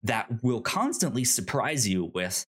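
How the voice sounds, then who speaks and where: A man chats casually as a podcast co-host, his pitch swinging widely as he talks. His delivery is halting, with repeated stutters at the starts of words. The tone is informal discussion. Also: big pitch swings, husky. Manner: halting, stuttering on initial sounds.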